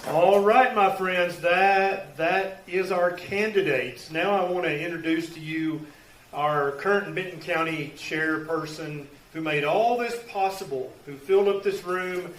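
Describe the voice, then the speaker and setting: A man emceeing an event, loudly introducing the next speaker. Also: measured pace, far from the mic, slight background noise. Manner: loud, booming announcer delivery. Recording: echoey hall from afar with crowd.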